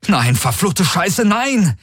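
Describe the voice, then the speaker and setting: A man's voice: high voice, big pitch swings, slow, fairly bright tone, husky, dry recording, studio quality, pitched above the performer's own voice, full character voice. A man voicing a desperate, impulsive male starship hero with frantic, exclamatory delivery in a science fiction audiobook. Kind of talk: dramatized audiobook dialogue.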